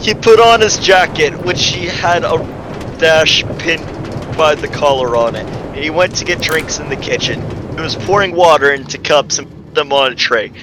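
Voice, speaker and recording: A man giving an amateur dramatic reading of a story, stumbling over the text and breaking off into casual, exasperated asides. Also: fairly high pitch. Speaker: reader of a fan fiction story. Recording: faint background noise.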